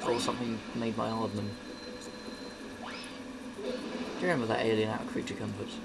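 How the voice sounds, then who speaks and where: A woman with a croaky morning voice doing casual gameplay commentary, excitable and quick to yelp at the game. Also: very deep voice, very noisy. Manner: excitable, yelps and exclaims at the game. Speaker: gaming video host. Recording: loud game sound over the voice. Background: video game sound.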